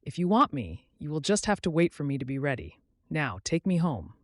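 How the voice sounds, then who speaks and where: A man takes the part of a young engaged woman in an audiobook story. She is sarcastic, torn and emphatic. The pitch is raised and very lively, and the recording is dry. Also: a little fast, no echo. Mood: resolute.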